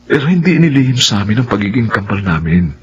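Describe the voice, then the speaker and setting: A man's voice: fairly low pitch, very animated, quick pace, muffled recording, a little hiss or hum. An actor playing an earnest young man in a radio drama, often stammering as he explains himself. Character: earnest young man, flustered then patient. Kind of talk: Filipino radio drama acting.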